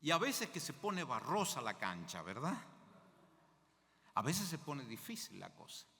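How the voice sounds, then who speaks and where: A man's voice: on the high side, sing-song, a little slow, pushed and bright, in a large hall. An older man from Mendoza with an Argentine accent, preaching a sermon as a guest speaker in a conversational way, often asking the congregation questions.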